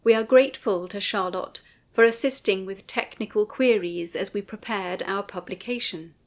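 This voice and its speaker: A woman narrating a scripted commentary in a formal, measured way, phrasing each sentence with care. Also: mid-range voice. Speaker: writer and narrator of a music commentary.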